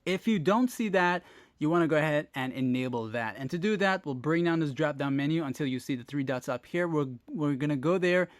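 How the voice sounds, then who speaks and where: Male tutorial host, slightly high pitch, informal step-by-step delivery straight to viewers, dry close sound. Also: lively intonation, fairly quick, clear, resonant tone, no room sound. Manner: informal, addresses viewers directly. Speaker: host of a phone tech tutorial channel. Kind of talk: casual step-by-step tutorial narration.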